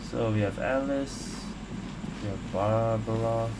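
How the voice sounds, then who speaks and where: Woman streamer for a playthrough: very deep for her sex, offhand, thinking aloud, noisy mic. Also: takes it slowly. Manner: muttering reactions, thinking aloud. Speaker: gamer playing and reacting. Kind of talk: casual game commentary. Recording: noisy home mic with hum.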